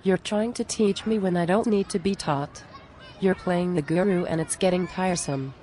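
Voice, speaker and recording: A woman's voice: on the low side, moderate pace, warm tone, a faint crowd, lightly acted. A woman playing a down-to-earth, exasperated friend in a scripted cartoon dialogue, plain-spoken and conversational. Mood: frustrated, earnest.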